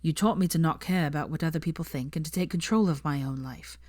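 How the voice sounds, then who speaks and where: A woman reading fan fiction, voicing a nervous young man who speaks in a hushed, halting way. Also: deep voice, no room sound, clean studio sound. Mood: hesitant. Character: young man, guarded, soft-spoken and nervous.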